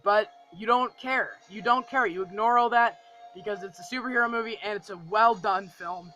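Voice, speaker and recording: Young male reviewer, notably high and bright in tone, gushing, informal, repeats himself for emphasis; music underneath. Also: moderate pace, dull audio. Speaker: film review vlogger. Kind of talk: casual, enthusiastic movie review chat.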